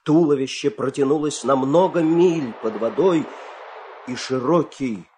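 A man narrating a fairy tale as a dramatic storyteller, expressive and suspenseful, with deliberate pauses. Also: mid-range voice, slight background noise.

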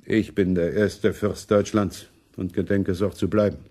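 A man's voice: sits low, steady tone, normal speed, warm tone. A man acting a money-minded, imperious German prince in a radio play, sardonic and complaining in manner. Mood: sardonic, brooding.

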